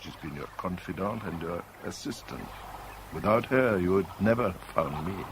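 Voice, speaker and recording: Suave man, lowish voice. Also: lively intonation. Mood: measured, pointed. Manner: urbane, measured, faintly mocking. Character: suave, calculating, worldly rival in love. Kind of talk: 1970s British radio drama acting. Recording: noisy old radio broadcast recording.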